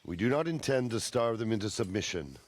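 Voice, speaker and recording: An actor playing a grave, resolute medieval commander in a video game, formal and authoritative. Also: male voice, fairly low pitch, big pitch swings, a little fast. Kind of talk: dramatic video game acting.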